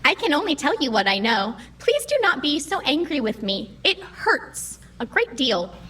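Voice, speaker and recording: A woman's voice: high voice, sing-song, normal speed, a bit bright. A woman playing a timid, easily hurt computer program in an audio drama, speaking in formal, precise, literal phrases. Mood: hurt, pleading. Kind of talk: scripted audio drama acting.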